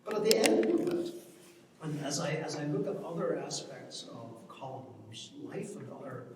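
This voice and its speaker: A man with an Irish accent giving an informal literary talk as an academic, halting and often repeating words as he searches for his phrasing. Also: mid-range voice, very animated, crowd noise. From Ireland. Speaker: academic speaker commenting on a play. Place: large echoing hall at a public event. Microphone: distant microphone. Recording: very echoey hall from far off, noisy.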